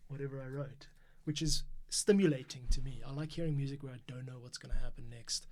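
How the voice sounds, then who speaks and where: A man's voice: medium pitch, fast talker. A man hosting a casual music composition livestream, thinking aloud, counting beats and muttering to himself as he works.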